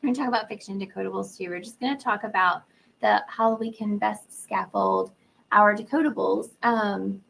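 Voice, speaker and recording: A woman's voice: medium pitch, normal speed, a bit bright, a little hiss or hum, low-quality recording. A woman hosting a live teaching webinar, greeting attendees by name in an upbeat, casual way while she is losing her voice from a sore throat and cold. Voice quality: gravelly, husky. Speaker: webinar host, literacy educator. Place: indoor room.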